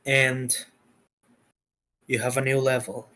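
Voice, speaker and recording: A man running a tabletop game online as game master, casual and somewhat halting. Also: mid-range voice, flat delivery, pushed and bright. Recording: background noise with mouse clicks.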